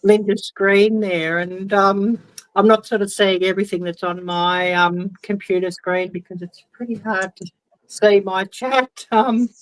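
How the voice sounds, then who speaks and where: Casting: woman, guest on a video call, slow and halting, dry close sound. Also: mid-range voice, fairly even intonation, no echo, rough recording. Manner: hesitant, many ums, soft trailing. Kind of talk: casual video call chat.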